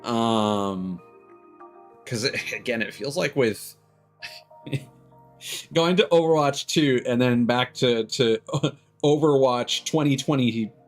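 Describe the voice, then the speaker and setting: A man's voice: medium pitch, takes it slowly, pauses often, faint music behind. A man doing casual, rambling livestream chat as a game streamer, with chuckles here and there.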